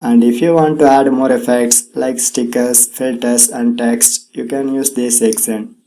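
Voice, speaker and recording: A man's voice: mid-range voice, fairly even intonation, a little slow, dark tone, a little hiss or hum, poor audio. A man hosting a tech tutorial in scripted narration, with non-native English phrasing.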